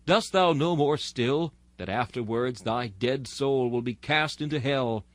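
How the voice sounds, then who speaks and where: A man's voice: mid-range voice, unhurried, no room sound. A man reading a sermon in a solemn, exhorting, oratorical manner. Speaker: narrator reading a historical sermon.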